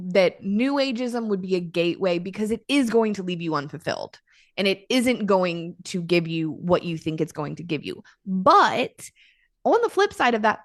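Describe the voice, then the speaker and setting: A woman speaking as a podcast guest, a mother talking about faith in a candid, emphatic way. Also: fairly low pitch. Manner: emphatic, candid, quick to exclaim. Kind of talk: casual faith conversation.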